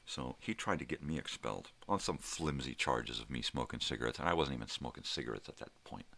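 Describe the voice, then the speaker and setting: A middle-aged man with a gravelly voice, rambling casually as he tells stories with swearing and emphatic bursts. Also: extremely low pitch, expressive, fast talker, pushed and bright. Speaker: host of a daily personal vlog.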